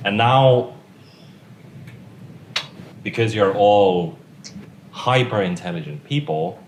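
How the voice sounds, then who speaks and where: A man's voice: fairly low pitch, expressive, takes it slowly. A man whose first language is Finnish, giving a technical tutorial at a conference in an informal, joking way. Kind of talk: technical lecture. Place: conference room. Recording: echoey room with faint noise.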